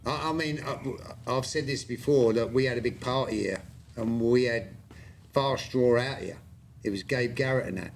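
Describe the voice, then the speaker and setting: A man's voice: mid-range voice, normal speed, vocal fry, slight background noise. A man in his sixties hosting a podcast, chatting casually with friends and often stammering into his sentences. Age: 60s. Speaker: podcast host. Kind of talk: casual chat.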